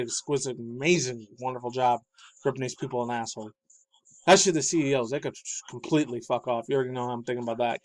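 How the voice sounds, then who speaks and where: A man in his thirties ranting about pop culture news, loud, profane and heated, shifting between yelling and low muttering, with a slightly raspy voice. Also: no room sound. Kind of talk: angry ranting commentary.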